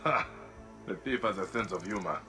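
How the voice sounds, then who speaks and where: An actor playing a grim ancient warrior investigator in a video game, musing aloud in a measured way. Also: male voice, low-pitched, natural voice. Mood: pensive, focused. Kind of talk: dramatic video game acting. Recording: music behind the voice.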